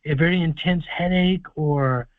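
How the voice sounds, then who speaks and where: Male call-in host, higher voice, dry phone-line sound, confident long explanations. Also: measured pace, no room sound. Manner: confident, explaining at length with fillers. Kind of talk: casual call-in advice talk. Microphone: phone or conference call. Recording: tinny conference phone line.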